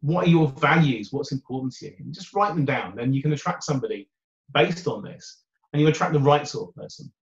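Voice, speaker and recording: A British man in a dating coach interview, with a loud voice and an upbeat, chatty way of talking. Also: medium pitch, animated, a little fast. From United Kingdom. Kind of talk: conversational interview answers. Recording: roomy, dull remote audio.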